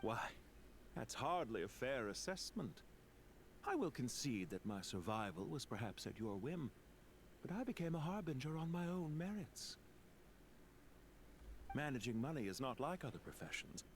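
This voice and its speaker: Shrewd banker villain for a game: proud, formal, mid-pitched, very animated intonation, sardonic. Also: male voice, normal speed, pauses often, a little hiss or hum. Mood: proud, businesslike. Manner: formal, precise, drily sardonic. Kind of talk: scripted video game dialogue.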